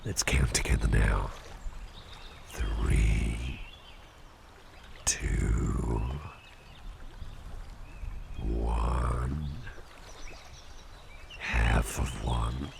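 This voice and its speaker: Male actor as calm businessman boss for ASMR roleplay: extremely low, unhurried, playful and soft, with clear ambient noise behind. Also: full character voice. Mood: slow, soothing. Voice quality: very deep, gravelly. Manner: soft, soothing, unhurried, often dropping near a whisper. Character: calm, playful businessman boss hypnotizing his employee with a pendant. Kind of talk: slow, intimate ASMR roleplay.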